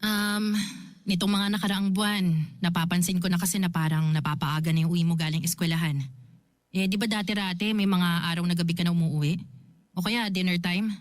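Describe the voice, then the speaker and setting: A woman's voice: fairly low pitch, steady tone, brisk, no room sound, clean studio sound, natural voice. A Filipino woman playing a caring, worried older sister in a radio drama, speaking hesitantly and carefully. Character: caring, worried adult older sister confronting her sibling.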